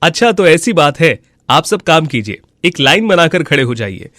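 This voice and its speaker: A man playing a simple, kind-hearted villager in a cartoon moral story, with an earnest, expressive delivery. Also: fairly high pitch, moderate pace, dry recording, clean studio sound. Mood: earnest, friendly. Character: honest, simple, kind-hearted village husband and his neighbours.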